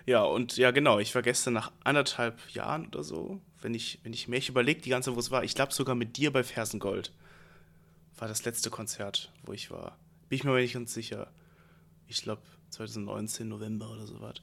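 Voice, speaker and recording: A young man with a gravelly voice and a stuffy, congested nose from a cold. He rambles through a story in relaxed, slangy casual chat, hosting a podcast with a friend. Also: mid-range voice, fairly quick. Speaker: podcast host.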